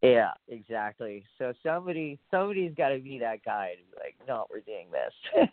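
A man's voice: medium pitch, very animated, normal speed, no echo. A man from Hawaii, a musician guest in a casual interview, talking in a loose, rambling way with many fillers and curse words. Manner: laid-back, rambling, lots of fillers and swearing. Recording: dry, phone-like audio.

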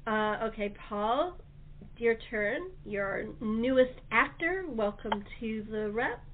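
A woman's voice: fairly high pitch, expressive, slow pace, a little hiss or hum. A woman hosting a casual, informal interview chat about her creative work. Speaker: host, radio-play writer and actor. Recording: muffled phone-line quality.

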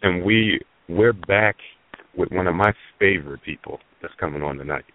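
A man's voice: deep voice, moderate pace. A man hosting a call-in internet radio show, chatting casually and joking playfully with his co-host. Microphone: phone line. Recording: phone-line call-in radio, dry.

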